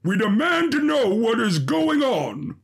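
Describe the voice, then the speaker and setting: Man putting on a haughty duke, raised pitch, pompous, demanding, indignant, impatient, story character. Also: sing-song, measured pace, gravelly, breathy edge, full character voice. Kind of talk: audio fiction character voice.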